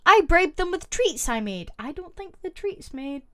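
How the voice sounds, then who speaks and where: A woman's voice: very high-pitched, sing-song. A woman streamer reading online stories aloud and reacting to her chat in a casual live-stream. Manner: reads posts aloud and reacts with quick asides to chat.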